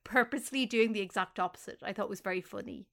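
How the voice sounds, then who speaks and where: A woman's voice: medium pitch, quick pace, creaky, fairly bright tone. An Irish woman co-hosting a podcast, chatting casually, self-mocking and prone to trailing off. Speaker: podcast co-host. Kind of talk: casual chat.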